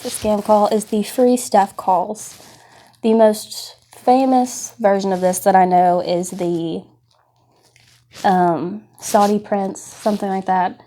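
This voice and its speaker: A woman speaks with a soft-edged, mellow voice at an average pitch. She presents like an informal class instructor, explaining things conversationally and offering words of caution. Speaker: presenter of a scam awareness class.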